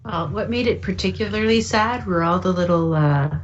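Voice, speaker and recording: Low-voiced woman, muffled lo-fi audio. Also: normal speed. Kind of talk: casual chat. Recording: muffled lo-fi audio with faint noise.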